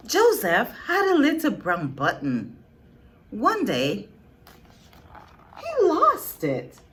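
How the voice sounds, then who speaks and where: A woman reading a picture book aloud to young children, expressive and teacherly, pausing for emphasis and asking her listeners questions. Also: fairly high pitch.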